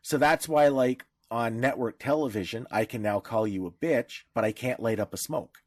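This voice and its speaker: A man's voice: medium pitch, moderate pace, no room sound, clean studio sound. A man co-hosting a podcast in casual discussion, talking in a blunt, irreverent way. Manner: blunt, irreverent, freely profane. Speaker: podcast co-host.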